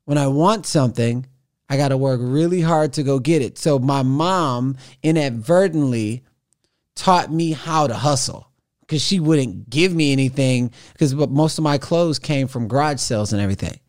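A man of forty, a podcast guest, telling his story in an animated, conversational way with frequent restarts. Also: medium pitch, normal speed. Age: 40s. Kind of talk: conversational interview.

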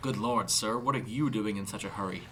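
A man's voice: on the low side, brisk, fairly bright tone, a little hiss or hum, natural voice, poor audio. A man voicing a curious, firm inspector with a dry, questioning manner in a narrated story.

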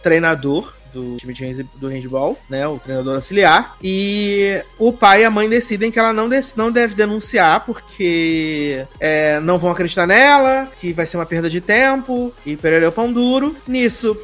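A man's voice: high voice, moderate pace, hardly any pauses, fairly bright tone, soft background music. Chatty Brazilian man recapping a show. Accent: Brazilian Portuguese. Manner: chatty, often tags sentences with "né?". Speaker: podcast co-host. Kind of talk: casual chat, recapping a series.

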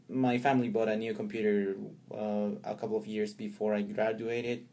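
Low-voiced Peruvian man telling a story. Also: darkish tone. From Peru. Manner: rambling storyteller with many fillers and restarts, laughs often. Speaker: guest. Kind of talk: casual interview chat.

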